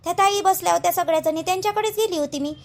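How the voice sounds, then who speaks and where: A woman's voice: very high voice, racing. A woman playing an aggrieved, stubborn young village wife in a family cartoon, protesting and questioning in a Vidarbha Marathi accent. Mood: hurt, puzzled. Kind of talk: family drama cartoon dialogue.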